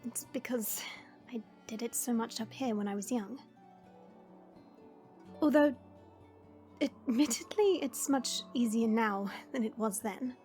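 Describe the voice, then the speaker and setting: A woman playing a gentle dragon princess in an audio roleplay, speaking in a measured, formal and tender way. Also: a little high, faint music behind, natural voice. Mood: fond, proud. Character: gentle dragon princess, proud gardener, softly regretful.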